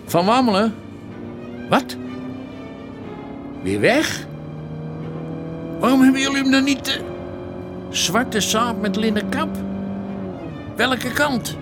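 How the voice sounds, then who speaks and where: An actor playing an eager, cheeky police sergeant in a radio drama, excitable and informal. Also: male voice, very high voice, slow pace, pauses often, over music, full character voice. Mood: urgent.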